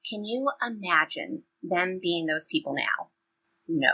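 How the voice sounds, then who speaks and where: A woman's voice: fairly low pitch, animated, measured pace, sharp tone, husky, exaggerated voice, low-quality recording. A woman playing a loyal, upbeat seventeen-year-old girl in an audio play, reassuring and playful in everyday dialogue. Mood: playful, encouraging. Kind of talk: audio play reading, conversational teen dialogue.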